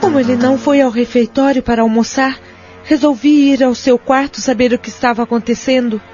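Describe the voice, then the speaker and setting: A woman's voice: high-pitched, fairly even intonation, brisk, close to an everyday voice. A woman playing a tense, anxious care home worker in an audio soap opera. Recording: lo-fi audio under clear music.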